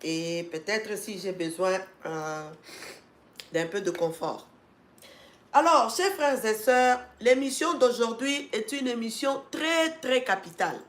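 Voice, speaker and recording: A woman's voice: a little high, lively intonation, takes it slowly. Congested woman hosting a live stream. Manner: talks with many fillers and restarts, grows emphatic and urging. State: congested with a cold. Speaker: host of an educational channel. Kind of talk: live-stream talk to viewers. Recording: echoey room.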